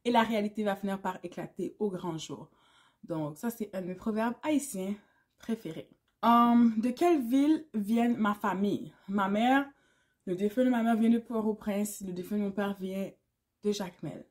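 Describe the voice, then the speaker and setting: A woman's voice: fairly high pitch, moderate pace, darkish tone. A woman in her thirties from Canada with a Québécois French accent, talking casually to camera in a vlog and mixing English words into her speech. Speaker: YouTuber.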